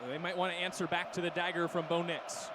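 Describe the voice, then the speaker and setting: High-voiced male football colour commentator. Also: flat delivery, quick pace, over a noisy crowd. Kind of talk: sports analysis between plays. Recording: noisy stadium background.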